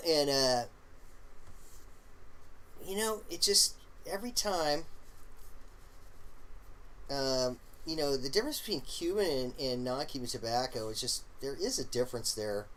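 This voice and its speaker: A man hosting a casual live stream, rambling along in a halting way with lots of fillers and the odd throat clear. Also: medium pitch, a little hiss or hum, low-quality recording. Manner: relaxed, opinionated talk about smoking.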